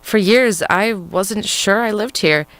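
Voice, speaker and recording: A woman's voice: medium pitch, slow, a bit bright. A woman playing a reflective, proud mother in a theatrical audio drama.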